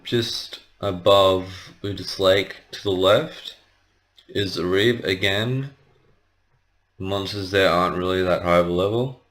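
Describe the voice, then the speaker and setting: A man's voice: lowish voice, a bit bright. A man giving a casual, rambling let's play commentary, hesitant and often correcting himself as he explains. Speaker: gaming video host.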